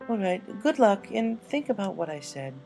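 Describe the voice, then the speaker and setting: A man voicing a refined, dramatic female fashion pony in a storybook reading, tactful but firm, with drawn-out genteel speech. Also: high-pitched, very animated, gravelly, darkish tone, faint music behind, pitched above the performer's own voice, heavily acted, rough recording. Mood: supportive. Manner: drawn-out, genteel, fond of saying darling.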